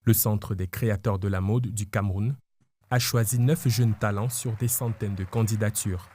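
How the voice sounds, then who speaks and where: A man reading a scripted news report as a voice-over in a polished, measured way. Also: fairly low pitch, steady tone, mellow.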